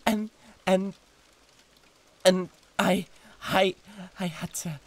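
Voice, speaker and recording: A man playing a possessive, flustered demon with a British accent and a breathy voice, halting and repeating himself in a flirtatious audio roleplay. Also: high voice, steady tone. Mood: indignant, possessive. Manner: halting, repeating words with wounded pride. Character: jealous, possessive male demon, flustered and pleading.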